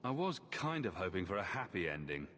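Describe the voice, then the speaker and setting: An actor playing a warm, wry hero and protective brother in a fantasy video game. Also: male voice, low-pitched, very animated, fairly quick. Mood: wry, gentle. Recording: echoing space, noisy ambience.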